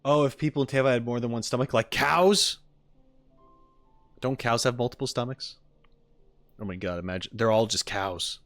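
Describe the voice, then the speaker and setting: A man's voice: mid-range voice, moderate pace, long pauses, fairly bright tone, faint music behind. A man chatting casually to his stream viewers as he reacts to game videos, informal, joking and blunt.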